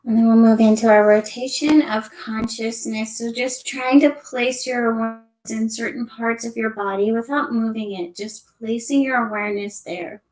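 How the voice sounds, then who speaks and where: Female yoga nidra guide: gentle, leisurely delivery, mid-pitched, roomy amateur recording. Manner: gentle, unhurried, soothing instruction. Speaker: meditation teacher. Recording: echoey room, amateur sound quality.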